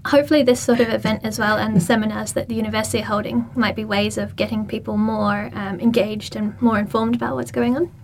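A woman's voice: medium pitch, little pitch movement, fast talker, few pauses, slight background noise. A woman hosting a panel discussion, guiding guests with encouraging remarks. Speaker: radio host.

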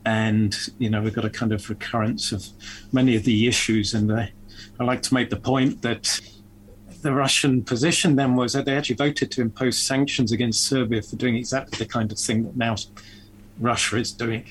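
A man's voice: mid-range voice, little pitch movement, moderate pace, slight background noise, low-quality recording. A man speaking as an associate professor on a discussion panel, reflective and a little hesitant.

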